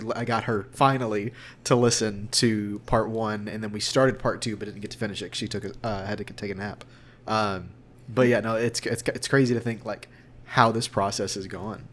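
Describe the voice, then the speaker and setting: Deep-voiced young man rambling casually, noisy recording. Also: little pitch movement, normal speed. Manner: rambling, informal, conversational. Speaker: voice actor being interviewed. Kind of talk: casual interview chat.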